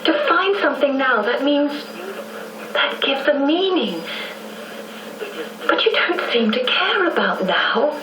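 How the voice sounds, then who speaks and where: An actress playing a sorrowful, gently reproachful young lady in a radio drama, with halting, wistful delivery. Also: sits high, animated, a crowd in the background. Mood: sad, reproachful. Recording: noisy, echoey off-air radio copy, distant.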